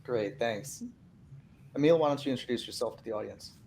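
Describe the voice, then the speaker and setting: Podcast host, male, mature, mid-pitched voice, conversational delivery, slight low noise on the recording. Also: brisk, darkish tone.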